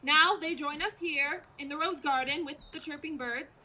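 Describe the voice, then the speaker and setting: A woman's voice: high voice, moderate pace, pushed and bright. A woman playing an earnest female radio news reporter in a comic stage radio play, speaking in a dramatic, declamatory manner. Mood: grandly filling time. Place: outdoors, community theater play in a parking lot. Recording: outdoor amateur stage performance.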